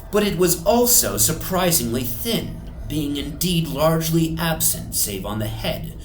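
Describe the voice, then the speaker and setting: A man narrates a horror tale in a theatrical, suspenseful manner. He takes his time with each phrase, often sinking into breathy whispers. His voice rings in a reverberant space, with music underneath. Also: medium pitch. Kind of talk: dramatic horror story reading. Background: music soundscape.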